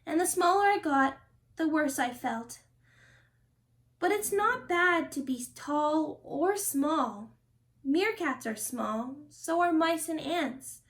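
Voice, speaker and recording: Lady reading as a shy little giraffe boy in a picture book, high voice, earnest and slow. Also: animated, a bit bright, heavily acted. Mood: earnest, hurt. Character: young male giraffe, sensitive, insecure schoolboy. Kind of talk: children's storybook character reading.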